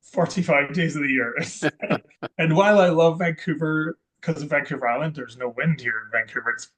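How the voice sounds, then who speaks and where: A man from Scotland, a guest investor chatting in an interview, rambling and correcting himself with many pauses and restarts. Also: on the high side, a bit bright. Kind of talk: casual interview chat.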